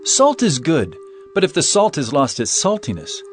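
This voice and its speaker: A man's voice: a little high, wildly swooping pitch, moderate pace, soft background music. An actor playing a stern, authoritative male teacher, speaking solemnly and with commanding emphasis in a dramatized scripture reading. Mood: earnest warning.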